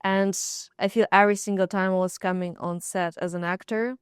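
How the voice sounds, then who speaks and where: A woman with a Ukrainian accent, a filmmaker and actor speaking on a roundtable panel, thoughtful and pausing to search for words. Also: medium pitch, steady tone, smooth, no room sound, studio quality. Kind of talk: roundtable discussion.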